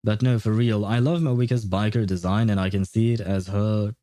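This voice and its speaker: A man's voice: on the low side, steady tone, normal speed, dark tone, no echo. A man chatting casually as a game livestream host, rambling and opinionated. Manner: rambling, opinionated, often chuckling. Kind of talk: casual gaming livestream chat.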